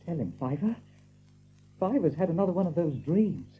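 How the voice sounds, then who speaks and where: A male actor playing a small, eager young rabbit, plucky but anxious, halting and repeating himself. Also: on the high side, lively intonation, slight background noise, natural voice. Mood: brave, reassuring. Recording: tinny narrow-band audio.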